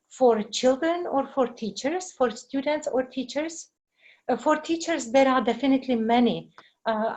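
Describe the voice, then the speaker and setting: Slow-speaking Czech woman teacher. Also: a little high, a little mellow. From Czech Republic. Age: middle-aged. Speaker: language teacher giving a webinar. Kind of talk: informal lecture with explanations and answers.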